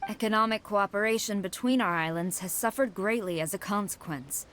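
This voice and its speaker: A woman's voice: mid-range voice, brisk, fairly bright tone, a little hiss or hum, lightly acted. A woman voicing a stern female military commander negotiating a treaty in a video game, formal and uncompromising.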